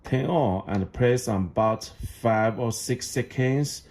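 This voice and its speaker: A man who speaks English as a second language gives an unscripted step-by-step product demonstration, halting and repeating phrases as he goes. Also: mid-range voice, darkish tone, slight background noise. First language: not English.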